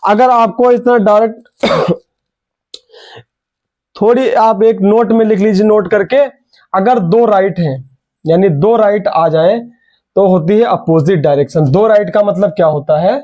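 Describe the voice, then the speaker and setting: High-voiced man teaching with a sore throat. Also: unhurried, pauses often. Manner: energetic, urging students, repeats key words. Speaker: reasoning teacher. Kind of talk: online exam coaching class.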